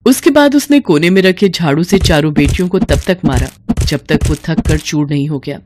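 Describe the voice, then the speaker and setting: Lower-pitched woman narrating a dramatic story. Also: expressive, normal speed, noisy background.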